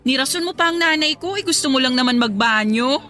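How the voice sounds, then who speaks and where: A woman's voice: sits high, lively intonation, fairly quick, bright, forceful tone, faint music behind. An actress playing a newly separated woman being courted in a Tagalog radio romance drama, talking in a playful, conversational way. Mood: playful teasing.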